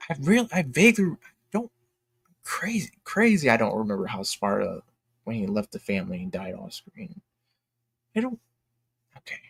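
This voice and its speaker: A man giving casual, opinionated livestream commentary in a blunt, emphatic way, haltingly repeating his phrases for emphasis. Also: on the low side, sing-song, gravelly, fairly bright tone, husky, no echo. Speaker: streamer and commentator.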